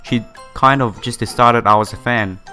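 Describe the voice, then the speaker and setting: A man's voice: mid-range voice, fairly even intonation, moderate pace, pushed and bright, soft background music. A man reading a visual novel aloud in a casual playthrough, stumbling over words now and then and reacting with his own commentary. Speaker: gaming YouTuber.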